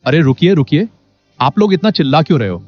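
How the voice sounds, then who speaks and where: A man playing a naive, honest poor farmer in an animated moral story, earnest and deferential. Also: fairly high pitch, quick pace. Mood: startled. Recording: dull lo-fi sound under music.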